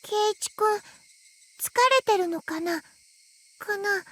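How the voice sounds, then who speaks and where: Very high, sweet anime schoolgirl. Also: steady tone, normal speed. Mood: timid concern. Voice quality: childlike, girlish anime voice. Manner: cutesy echoed sentence endings, gentle. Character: sweet, timid, cheerful schoolgirl friend. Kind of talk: Japanese visual novel voice acting.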